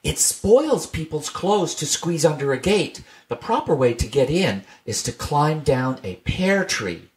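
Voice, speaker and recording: A man's voice: a little high, very animated, measured pace, hardly any pauses, far from the mic, pitched above the performer's own voice. A man reading a storybook aloud, voicing a bold, self-assured young male rabbit in a raised, confident tone. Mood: knowing.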